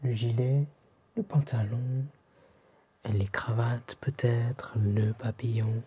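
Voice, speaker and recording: A man from France with a French accent voicing a courteous, fussy fantasy-village tailor in an ASMR roleplay, breathy and often whispering, with formal, polite, deliberate phrasing. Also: mid-range voice, level tone, warm tone. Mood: gentle, attentive. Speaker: ASMR performer playing a tailor.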